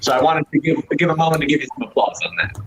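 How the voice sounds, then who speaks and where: A man's voice: fairly high pitch, very fast, fairly bright tone, a little hiss or hum, low-quality recording. Earnest man moderating a Q&A. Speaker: Q&A moderator reading fan questions. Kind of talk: casual Q&A chat. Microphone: remote mic over a video call.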